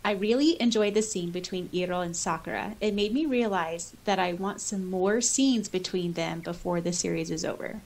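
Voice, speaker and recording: A woman co-hosting a podcast, chatting and reading comments aloud in an animated, jokey way. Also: on the low side, normal speed, few pauses. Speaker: podcast co-host. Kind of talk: casual podcast chat mixed with reading listener comments aloud.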